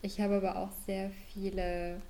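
A woman's voice: medium pitch, flat delivery, normal speed, mellow. A woman chats casually as a fan podcast co-host. Her mid-range voice moves hesitantly, stopping to find words, and there is faint noise in the recording. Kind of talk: casual fan chat about pop music. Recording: noticeable background noise.

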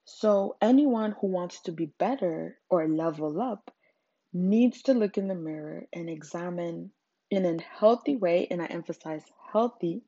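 Zimbabwean woman with a low, husky voice. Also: mellow, no room sound, lo-fi sound. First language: not English. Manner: earnest and emphatic, frequent fillers. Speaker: podcast host. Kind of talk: solo podcast monologue.

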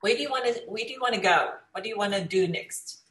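A woman's voice: mid-range voice, quick pace, fairly bright tone. A woman hosting a conversational interview show, speaking in an effusive, encouraging way. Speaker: talk show host. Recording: echoey room sound.

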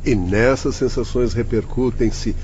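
Man playing a mellow, kindly old Brazilian doctor. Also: mid-range voice, slight background noise, close to an everyday voice. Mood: instructive. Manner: measured, explanatory, formal. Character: kindly old male spirit doctor, patient and learned. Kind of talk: radio drama acting. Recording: dull lo-fi radio drama, faint music.

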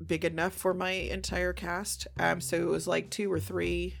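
A woman with a creaky edge to her voice, explaining her directing process as a guest in a casual interview. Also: on the low side, level tone, moderate pace, faint music behind. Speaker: audio drama creator and director, interview guest.

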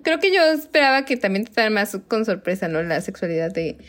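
A woman's voice: medium pitch, big pitch swings, a little fast. A young woman with a Mexican Spanish accent, co-hosting a podcast in casual chat, laughing often and acting out her own reactions. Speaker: podcast co-host.

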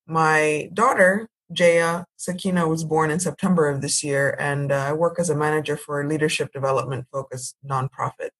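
A woman's voice: sits low, fairly even intonation, normal speed, few pauses. A woman in her thirties from Ethiopia, telling her personal story as a podcast guest in a composed, articulate way.

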